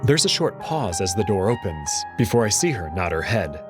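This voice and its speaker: An uneasy, stubborn young man played by a male actor tells his visual novel story in first person. His voice sits slightly low and moves expressively, introspective and hesitant, over clearly audible music. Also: very animated, moderate pace. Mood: brooding, subdued. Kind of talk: first-person narration with acted dialogue.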